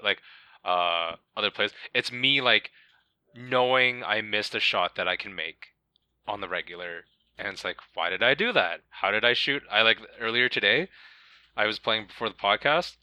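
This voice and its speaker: A man's voice: fairly low pitch, expressive, normal speed, bright, forceful tone, no echo. A man hosting a casual podcast chat, rambling with lots of fillers and casual swearing.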